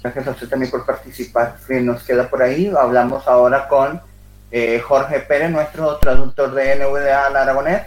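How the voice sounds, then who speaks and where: A man's voice: mid-range voice, little pitch movement, normal speed. A man moderating a remote panel, thanking one guest and briefly introducing the next. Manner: brief, formal hand-offs. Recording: echoey room.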